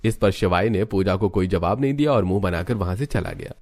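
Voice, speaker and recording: A man's voice: sits low, fairly quick, darkish tone. A man narrating a scripted cartoon story as the storyteller.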